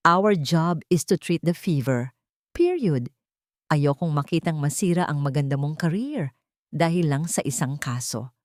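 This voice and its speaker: A woman's voice: low-pitched, lively intonation, a little slow, dry recording. Woman as stern senior doctor, Filipino accent, authoritative, blunt, audio storybook drama, varied intonation, dry recording. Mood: firm warning. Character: stern senior doctor, a cautioning hospital superior.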